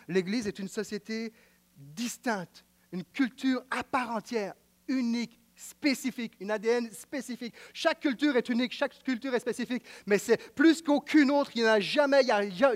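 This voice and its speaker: High-voiced Quebec French preacher. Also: male voice. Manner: emphatic, repetitive, swings between loud declaiming and quiet intimacy. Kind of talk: sermon.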